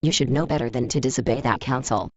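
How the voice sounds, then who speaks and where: An actor playing a cold, condescending villain who taunts a rival with deliberate menace in audio drama. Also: male voice, medium pitch, dry recording, close to an everyday voice. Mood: taunting, menacing. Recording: dull, muffled close dry recording.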